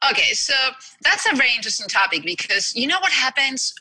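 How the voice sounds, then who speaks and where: A woman's voice: high-pitched, very animated, fast talker, pushed and bright, slightly rough, no echo, poor audio. A woman from Spain with a Spanish accent, a health coach earnestly explaining her work in an interview. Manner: earnest, explanatory, with small restarts. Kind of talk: interview answers.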